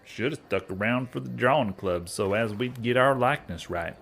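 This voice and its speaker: An actor playing a naive, earnest young cowboy outlaw weighed down by guilt, with a folksy rural American Western drawl, in a comedy western audio drama. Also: male voice, mid-range voice, normal speed, vocal fry, fairly bright tone, a little hiss or hum. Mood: wistful. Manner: folksy, ungrammatical bumpkin talk.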